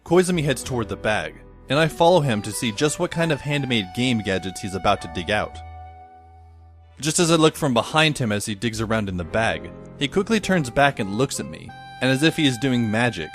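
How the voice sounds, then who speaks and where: A man's voice: mid-range voice, very animated, a little fast, a bit bright, faint music behind. A man playing a wry, put-upon teenage boy, narrating in the first person for an audio drama. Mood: wry, observant.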